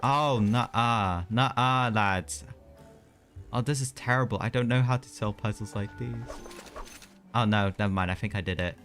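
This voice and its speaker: A man's voice: on the low side, moderate pace, fairly bright tone. A young man doing casual live gameplay commentary, chatty and slangy with mild exclamations. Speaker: game streamer. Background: game music.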